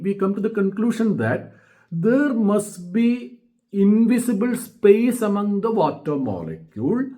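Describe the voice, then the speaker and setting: High-pitched Indian man teaching science. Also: a little mellow. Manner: halting, repeats and rephrases phrases, checks with okay. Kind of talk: explanatory school lesson.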